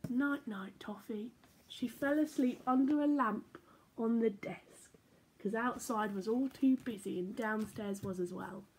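Young woman, fairly high voice, storyteller for kids, animated read-aloud at home on a laptop camera. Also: unhurried, slight background noise, rough recording. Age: young adult. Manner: animated, playful read-aloud with sound effects. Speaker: church children's storyteller. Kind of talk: reading picture books aloud to children. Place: living room at home. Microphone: laptop or phone camera at arm's length.

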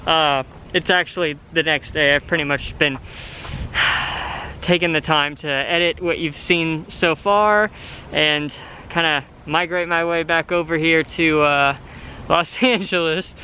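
A man in his thirties talks into a camera held at arm's length, rambling and halting over his words. His voice is bright and sits a bit high. A faint hiss runs behind him. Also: steady tone. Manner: rambling, candid, halting with fillers. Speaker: vlogger and delivery driver. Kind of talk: casual self-filmed vlog talk. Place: inside a parked car at night, then outdoors in a park. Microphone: phone or camera held at arm's length.

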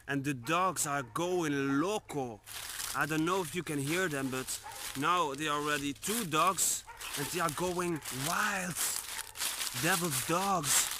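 A man's voice: fairly high pitch, noisy background. A man in his twenties chatting casually and slangily to viewers as an urban exploration vlogger, recorded outdoors on a phone held at arm's length. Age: 20s. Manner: slangy, addresses viewers as bros. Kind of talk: casual vlog chat to camera. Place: outdoors in woods by an abandoned building. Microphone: phone on selfie stick at arm's length.

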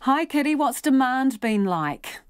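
A woman's voice: sits high, sing-song, measured pace, clean tone, no room sound. A woman presenting a live radio news interview from a studio, putting direct, probing questions. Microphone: studio microphone.

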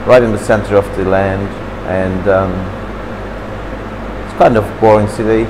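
A man's voice: on the low side, measured pace, big-room sound, noisy background. A man in his fifties from Turkey, speaking English, chatting animatedly about his childhood in a café interview. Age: 50s. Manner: chatty, animated, gestures with hands, slightly broken English. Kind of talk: reminiscing in an interview. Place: café, seated at a table indoors. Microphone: camera across the table.

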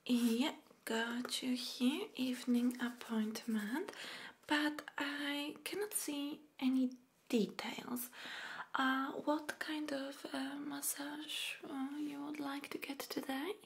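Very slow, whispering young woman. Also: high-pitched, steady tone. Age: young adult. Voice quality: breathy whisper. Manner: soft, soothing, gentle. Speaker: ASMR creator playing a massage therapist. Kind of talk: whispered ASMR roleplay. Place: small dim room indoors. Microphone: close microphone off camera.

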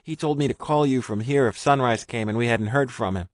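A man voicing a nervous young man who talks in a rushed, frantic way and stammers. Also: fairly low pitch, no room sound, clean studio sound, lightly acted.

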